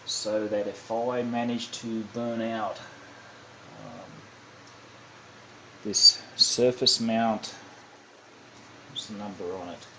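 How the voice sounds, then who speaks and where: A man giving a casual, unscripted hands-on demonstration, halting and thinking aloud as he goes, often correcting himself. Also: fairly low pitch. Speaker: hobbyist demonstrating a kit build. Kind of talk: casual unscripted how-to narration. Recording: clearly noisy amateur home recording.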